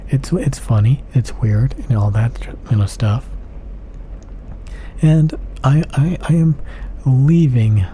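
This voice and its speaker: A man talking straight into a close studio mic in a quiet home recording room. His talk is emphatic and repetitive, with long strings of adjectives and some stretches dropping into a whisper. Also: medium pitch, takes it slowly, slight background noise. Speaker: audio gear reviewer. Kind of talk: casual spoken monologue. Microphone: close-up studio condenser mic.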